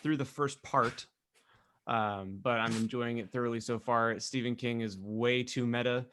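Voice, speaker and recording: A man's voice: medium pitch, steady tone, measured pace, a bit bright, slight background noise. A man co-hosting a casual podcast, talking in a chatty, rambling way. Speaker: podcast co-host.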